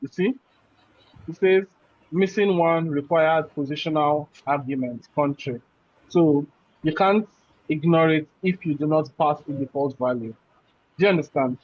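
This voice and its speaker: A man's voice: a little high, unhurried, a little mellow. A man teaching a programming class. He walks through code step by step and keeps checking in with "right?". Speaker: programming instructor. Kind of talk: live coding class explanation. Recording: muffled, dull audio.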